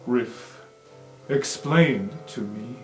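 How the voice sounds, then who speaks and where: A man voicing an earnest plant-born student who speaks in halting, deliberate, formal phrases. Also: mid-range voice. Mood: grave, earnest. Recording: roomy sound, music underneath.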